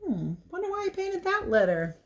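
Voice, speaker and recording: Woman, children's read-aloud teacher. Expressive storybook delivery mixed with casual, chatty asides. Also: extremely high pitch, normal speed. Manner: expressive, chatty, explains as she goes. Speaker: teacher reading picture books aloud.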